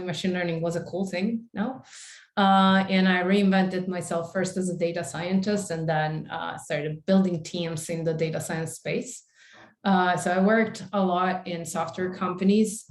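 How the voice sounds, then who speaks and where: A woman in her forties from Italy, giving a chatty invited talk over a video call, with many fillers. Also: fairly low pitch. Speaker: invited speaker. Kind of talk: conference talk with slides. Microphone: video call webcam. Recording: echoey room.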